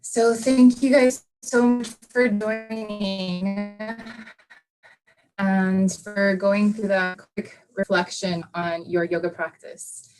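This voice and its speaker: A woman teaches yoga over a video call, speaking softly and slowly as she guides a reflection. Her voice sits in an ordinary middle range, with frequent pauses and fillers. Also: mid-range voice, steady tone, soft background music, low-quality recording. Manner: soft, unhurried guiding with many fillers. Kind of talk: live online class, guided reflection.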